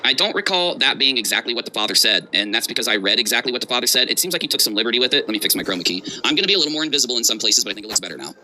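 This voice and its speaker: Fast, sharp-voiced sarcastic man. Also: medium pitch, animated, faint music behind, low-quality recording. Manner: sarcastic, emphatic. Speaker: livestream commentary host. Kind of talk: mocking reaction commentary.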